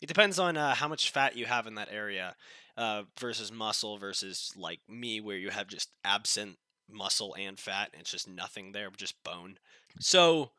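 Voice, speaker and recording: A man's voice: on the low side, animated, normal speed, bright, forceful tone, no room sound, clean studio sound. Nasal, sick-sounding young man. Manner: blunt and dismissive, with frequent restarts. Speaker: commentary channel host. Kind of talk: casual political commentary.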